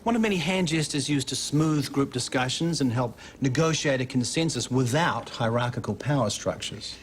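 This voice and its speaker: A man's voice: mid-range voice, normal speed, a little hiss or hum, natural voice. A man playing a breezy, idealistic hospital boss. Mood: earnest. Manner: breezy, jargon-loving, earnestly upbeat. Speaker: hospital chief executive. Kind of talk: television soap drama acting.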